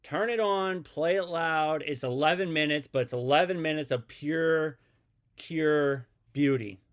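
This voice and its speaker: A man's voice: on the high side, a little slow. A man hosting a podcast, asking questions in a friendly, enthusiastic way, with plenty of "you know" and "like". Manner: friendly, enthusiastic, talks with filler phrases. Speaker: podcast host. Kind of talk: casual interview chat.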